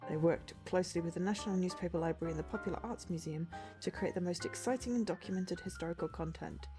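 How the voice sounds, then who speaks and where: A woman streamer with a cold and a slightly low voice, sounding a little sniffly. She chats loosely and hesitantly, filling gaps with ums and tripping over unfamiliar names. Faint music plays underneath her talk. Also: fast talker. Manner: chatty and hesitant, full of ums, unsure of name pronunciations. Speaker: game streamer. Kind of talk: casual livestream chat, reading a game blurb aloud.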